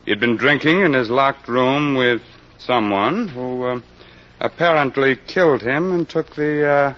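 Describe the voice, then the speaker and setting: An actor playing a brisk, sardonic homicide captain questioning suspects in an old-time radio mystery, with authority and hesitant pauses. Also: male voice, mid-range voice, lightly acted. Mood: probing. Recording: dull, band-limited old radio recording.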